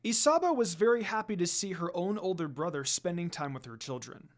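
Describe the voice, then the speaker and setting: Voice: medium pitch, animated, brisk. A narrator reading a scripted fan fiction story aloud.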